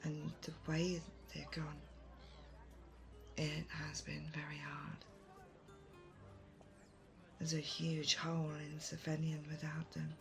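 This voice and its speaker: A woman playing a thoughtful, kind, self-doubting leader in an audio roleplay, soft-spoken, hesitant and gently earnest. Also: deep voice, completely flat delivery, slow, vocal fry, long pauses, over music, heavily acted. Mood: wistful, sincere. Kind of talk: immersive audio roleplay addressed to the listener.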